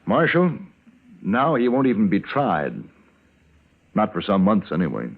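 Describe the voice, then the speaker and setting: Casting: frontier cavalry officer, deep, curt and dry, thin vintage radio sound. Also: male voice, very animated, measured pace, heavily acted. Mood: wry, resigned. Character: brisk, gruff cavalry major of the Old West. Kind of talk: 1950s radio drama acting. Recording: tinny band-limited vintage radio recording.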